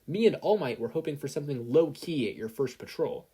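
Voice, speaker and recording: A breezy, assured superheroine mentor as put on by a story reader, teasing and sure of herself. The voice is mellow and a bit husky. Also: medium pitch, animated, brisk, pitched above the performer's own voice. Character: brash, easygoing American superheroine mentor.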